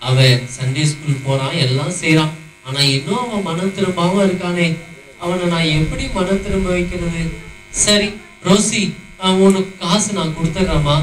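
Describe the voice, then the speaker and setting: A man voicing a gentle, pious father who pleads softly and sounds weary, in an echoey hall with hum. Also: on the high side, steady tone, normal speed, far from the mic, close to an everyday voice. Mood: pleading. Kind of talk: children's puppet show.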